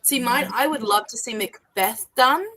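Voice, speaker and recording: A woman's voice: a little high, moderate pace, sharp tone. Chatty woman hosting a writing stream. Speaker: host leading writing sprints. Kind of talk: casual chat on a writing stream.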